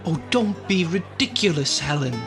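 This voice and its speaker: A man's voice: on the high side, lively intonation, moderate pace, over music. A man playing a skeptical, condescending friend in an old-time radio melodrama, dismissive and exasperated. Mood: skeptical exasperation. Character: skeptical, condescending male friend of a frightened woman.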